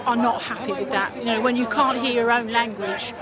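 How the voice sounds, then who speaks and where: A woman's voice: on the high side, fairly even intonation, brisk, over a noisy crowd. An older woman from Somerset, answering a street interview in a blunt, earnest way. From Somerset, England.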